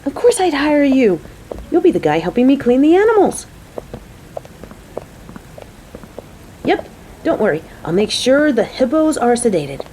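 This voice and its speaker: Mellow, caring woman coworker played by a voice actress. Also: high-pitched, sing-song, moderate pace, a little hiss or hum, low-quality recording. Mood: excited, playful. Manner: motherly, teasing, chatty. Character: caring, playful young woman coworker on a date. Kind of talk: audio roleplay acting addressed to the listener.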